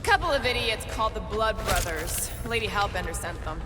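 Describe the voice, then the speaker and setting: A woman's voice: mid-range voice, lively intonation, a little fast, noisy background, close to an everyday voice. Woman playing a blunt alien warrior, reverberant. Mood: dry, serious. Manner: blunt, dry. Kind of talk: video game cutscene acting. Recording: hall reverb under music.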